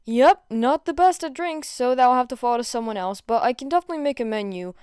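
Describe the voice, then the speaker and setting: Woman acting as teenage boy, easygoing, cheerful, practical, clear voice, audio story. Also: sits high, animated, brisk, darkish tone, dry recording, studio quality. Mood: agreeable. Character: big, easygoing, agreeable teenage boy baker.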